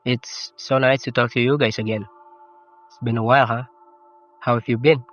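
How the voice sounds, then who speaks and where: Teenage male vlogger, Indonesian accent, non-native English, reflective and self-deprecating, slightly lo-fi voice-over with clear music underneath. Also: mid-range voice, steady tone, normal speed, a bit bright. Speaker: YouTuber. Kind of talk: personal vlog narration. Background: game soundtrack music.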